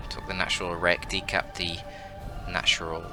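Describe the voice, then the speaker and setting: A man's voice: deep voice, flat delivery, moderate pace, sharp tone, faint music behind. A man with a bunged-up voice giving casual, sweary, rambling commentary on a video game match with joking asides. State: congested, bunged-up voice.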